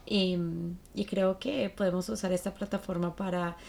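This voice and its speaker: A woman's voice: medium pitch, flat delivery, moderate pace, gravelly, darkish tone. A woman in her late twenties from Colombia, with a Colombian accent, talking casually as a podcast co-host.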